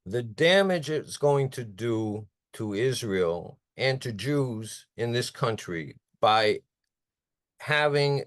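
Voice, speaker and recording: A man's voice: medium pitch, no echo, clean studio sound. A man calling into a video call, earnestly and incredulously asking a question. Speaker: caller.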